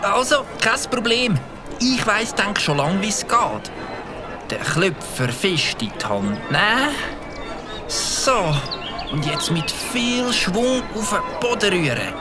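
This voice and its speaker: Cocky, firecracker-mad Swiss German boy, lively pitch. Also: slow, over music. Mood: confident. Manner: cocky, excitable. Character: eager young boy who loves firecrackers.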